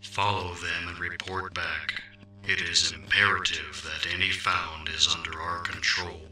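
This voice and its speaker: A man plays a cold, imperious villain boss in a sci-fi audio drama. His voice is low and monotone, giving terse orders from far off in an echoey, noisy recording. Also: measured pace. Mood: cold, commanding.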